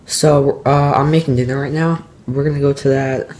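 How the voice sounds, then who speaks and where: A man's voice: mid-range voice, fairly even intonation, moderate pace, a little mellow. A teenage boy chatting casually to his camera at home, rambling with lots of ums and uhs. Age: teens. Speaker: vlogger. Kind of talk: casual vlog talk to camera.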